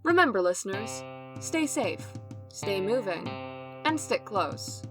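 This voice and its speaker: Woman narrator, mid-pitched, scripted podcast promo, prominent plucked guitar backing. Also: very animated, takes it slowly. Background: plucked guitar music.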